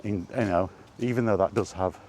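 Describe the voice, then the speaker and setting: A man chatting casually in a rambling solo monologue, halting and musing, often repeating his phrases, recorded outdoors. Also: low voice, darkish tone, a little hiss or hum. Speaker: host of a gaming chat series. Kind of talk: casual rambling monologue about video games.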